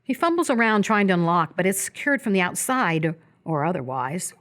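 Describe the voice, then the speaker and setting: A woman reading scripted stage directions as the narrator of a live radio play, in a descriptive, measured manner. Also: lowish voice, very animated, fairly bright tone.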